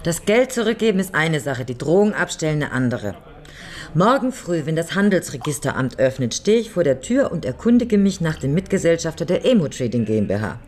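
An actress playing a resolute, level-headed lawyer in a radio crime drama, explaining her plan in a matter-of-fact, deliberate way. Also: sits low, big pitch swings, a little mellow. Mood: businesslike.

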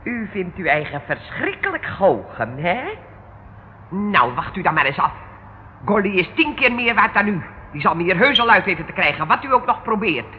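An actor playing a sly, wheedling crook under police questioning in a radio play, with an oily, familiar manner. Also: male voice, high voice, sing-song, moderate pace. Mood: defiant. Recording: muffled, noisy old radio recording.

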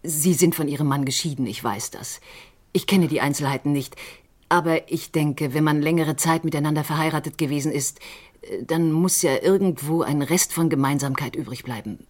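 Husky, low-voiced troubled woman. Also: darkish tone. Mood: grave, urgent. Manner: intense, deliberate, confiding. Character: troubled stage actress, grieving sister convinced of murder. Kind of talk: German radio crime drama acting.

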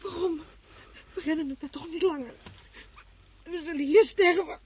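A woman's voice: normal speed, darkish tone. A woman acting an anxious mother on the run in a radio play, nagging and plaintive. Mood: despairing. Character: anxious, snappish mother fleeing during an evacuation. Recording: noisy old radio play, vehicle rumble behind.

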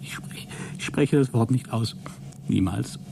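An actor playing a deluded, exhausted astronaut who keeps a logbook in a radio play, halting, self-justifying and grandiose. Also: male voice, mid-range voice, gravelly, in a whisper. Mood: agitated, fanatical. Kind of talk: dramatic radio play monologue.

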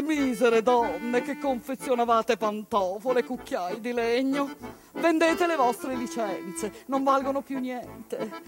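Swindler, played by a woman, high-voiced, wheedling and falsely pitying, over faint music. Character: smooth-talking swindler coaxing poor villagers.

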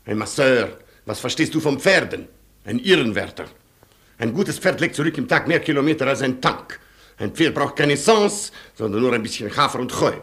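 Proud, commanding Polish colonel. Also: male voice, medium pitch, lively intonation, normal speed, a bit bright. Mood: commanding, impatient. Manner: commanding, curt, haughty. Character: proud, imperious aristocratic Polish colonel, stubborn man of action. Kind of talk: theatrical radio play acting.

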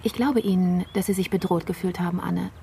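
Calm lady investigator, radio crime play, soft dark tone, firm n factual, slight background noise. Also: on the low side, a little fast, creaky. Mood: calm, insistent. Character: composed, matter-of-fact female criminal investigator. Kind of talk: dramatic radio play acting.

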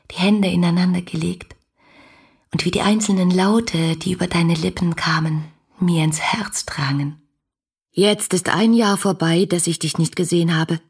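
A woman's voice: on the low side, slightly rough, full character voice. An actress playing a passionate, devoted young woman speaking her letters in a radio drama, intimate and earnest, full of grief and tenderness. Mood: hushed grief.

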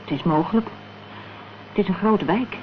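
A woman's voice: mid-range voice, normal speed, lightly acted. A woman acting a concerned, questioning companion in a Dutch radio crime drama. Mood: puzzled. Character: worried, inquisitive female companion in a crime thriller. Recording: dull, noisy old radio play recording.

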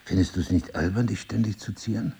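An actor playing an intense, probing husband in an intimate audio drama, speaking in an eloquent, insistent way. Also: male voice, on the low side, a little fast, vocal fry, dark tone, breathy edge, heavily acted. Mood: pressing, reproachful. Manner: insistent, questioning, theatrically eloquent. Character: intense, probing crime-writer husband with memory loss. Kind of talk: intimate two-person audio drama acting.

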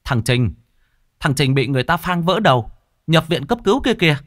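A man voicing an urgent, panicked neighbor calling out loudly at the gate. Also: a little high, very animated, brisk, no room sound, clean studio sound, pitched above the performer's own voice. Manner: urgent, shouting.

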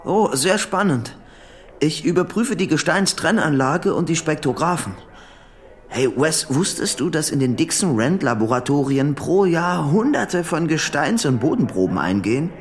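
Obsessive lovesick man, swinging pitch. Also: animated, normal speed. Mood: awkward, polite. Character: obsessive, lovesick, delusional man, insistent and agitated. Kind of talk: radio drama acting.

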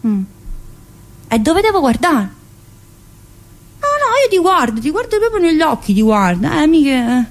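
A restless, teasing middle-aged woman inmate, talking in an earthy stream of asides and fillers. The voice sits a little high for a woman. It is a one-woman theatre monologue. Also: sing-song, moderate pace, pauses often. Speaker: stage actress in a one-woman play.

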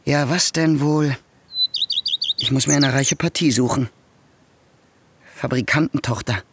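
A man's voice: medium pitch, a little slow, background noise, natural voice. An actor playing a resentful, debt-ridden young man who talks in a bitter, mocking, self-pitying way in a radio drama. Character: broke, resentful young male nephew. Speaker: new schoolmaster, the nephew.

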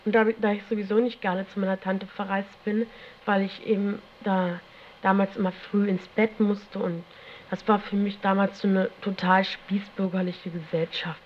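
A woman's voice: mid-range voice, flat delivery, moderate pace. Teenage female, slangy casual storytelling, dull old tape recording, faint noise. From Germany. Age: teens. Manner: colloquial, slangy, frequent tag questions. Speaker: interviewee recounting own experience. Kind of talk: casual spoken recollection. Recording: dull, noisy 1970s tape.

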